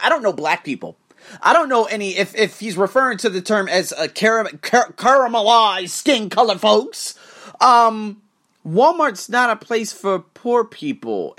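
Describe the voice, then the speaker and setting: A man hosting a podcast, delivering an emphatic, profane monologue to camera with sudden rises in pitch and frequent restarts. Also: fairly bright tone. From United States. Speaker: podcast host.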